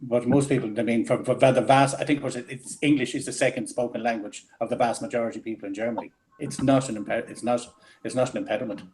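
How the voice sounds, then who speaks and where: Irish guy rattling on quick on a panel show, keeps restarting his sentences. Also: medium pitch, steady tone, darkish tone, low-quality recording. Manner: fast, restarts sentences often. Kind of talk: casual panel discussion.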